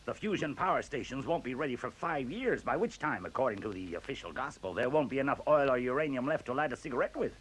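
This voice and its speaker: A man's voice: medium pitch, lively intonation, quick pace, hardly any pauses, husky, rough recording. An actor in a radio play voicing a conceited male professor with a blunt, dry and precise manner. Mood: wry. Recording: faint hiss on old radio recording.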